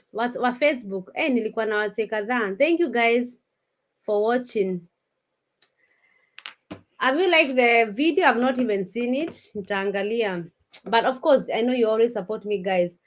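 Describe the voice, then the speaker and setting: A woman's voice: fairly high pitch, fairly bright tone. A Kenyan woman in her thirties hosting a casual live stream, talking straight to her viewers with frequent restarts. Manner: chatty, addresses viewers directly, frequent restarts.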